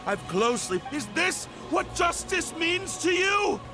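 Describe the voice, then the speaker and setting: An actor playing an anguished, betrayed defendant in a video game, with dramatic, drawn-out delivery. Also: male voice, very high voice, big pitch swings, over music, exaggerated voice. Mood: anguished, pleading. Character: anguished, betrayed male defendant pleading with his friend. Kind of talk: scripted game character dialogue.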